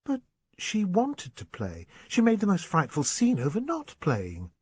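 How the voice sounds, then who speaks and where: A man voicing a loyal, tender young English woman in an audiobook novel, soft, questioning and troubled. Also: mid-range voice, very animated, measured pace, husky, dry recording. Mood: disbelieving.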